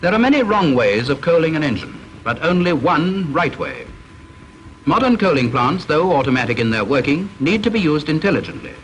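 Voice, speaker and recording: A man with a British accent giving formal scripted narration for a training film, measured, instructive and stern, on a vintage soundtrack with faint hiss. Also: a little high, sing-song, fairly bright tone, low-quality recording.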